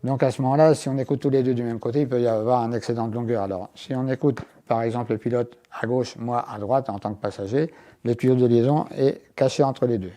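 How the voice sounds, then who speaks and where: A man's voice: medium pitch, fairly even intonation, quick pace, warm tone. A man presenting a product as a guest, explaining it hands-on and step by step with small asides. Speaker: inventor and guest demonstrating his product. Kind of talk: hands-on product demonstration in an interview.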